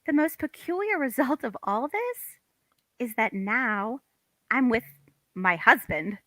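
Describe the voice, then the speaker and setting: A woman's voice: fairly high pitch, animated, slow pace, a bit bright, no room sound. A woman playing a wistful, melancholy young wife in a table read of a stage comedy.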